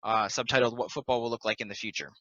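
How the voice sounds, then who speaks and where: A man narrating stage directions at a play table read in a plain, deliberate, announcing manner. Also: medium pitch, fairly even intonation, sharp tone, no echo, dull audio.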